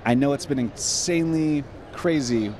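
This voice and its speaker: A man's voice: medium pitch, moderate pace, a little mellow. A young man hosts a live sports pregame show, speaking into a handheld mic. He is upbeat and talks up his guests. Faint noise sits in the background. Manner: upbeat, hyped introductions. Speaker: host. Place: studio set at a convention center.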